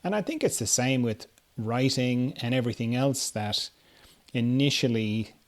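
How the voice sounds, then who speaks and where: A man with a mid-pitched voice hosts a relaxed podcast chat. He talks in a halting, meandering way, working out his thoughts as he speaks. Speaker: podcast host. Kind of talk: casual conversation, reading listener questions.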